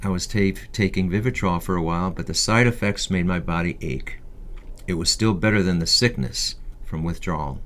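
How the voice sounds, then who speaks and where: A man's voice: sits low, moderate pace, a bit bright. A man in his fifties reading a scripted first-person memoir as an audiobook narration. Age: 50s.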